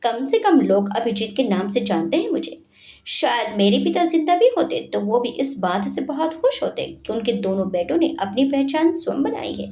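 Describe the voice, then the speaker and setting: A woman voicing a calm, loving young man. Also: a little high, normal speed, reverberant.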